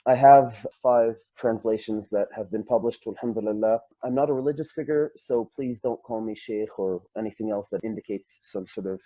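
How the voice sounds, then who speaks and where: A man's voice: on the low side, mellow, dry recording. A man, patiently explaining grammar with examples as an Arabic instructor. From Canada. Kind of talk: lecture. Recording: dull, dry lo-fi.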